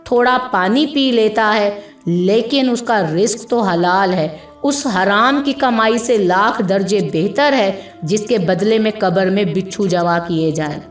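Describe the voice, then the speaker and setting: A woman reading a scripted moral story as a narrator, in a measured, dramatic storytelling manner. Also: mid-range voice. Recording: echoey voice with music behind.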